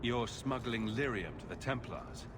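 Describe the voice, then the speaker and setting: An actor playing a confident human adventurer for hire in a fantasy video game, direct and questioning with a wry edge. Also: male voice, medium pitch, expressive, unhurried, noisy background, close to an everyday voice. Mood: blunt, probing. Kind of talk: video game cutscene acting.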